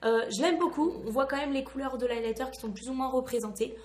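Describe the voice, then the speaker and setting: Casting: woman beauty vlogger, highish hoarse voice, chatty and enthusiastic, recorded in a reverberant room. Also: fast. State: hoarse, recovering from lost voice. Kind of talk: casual product review to camera.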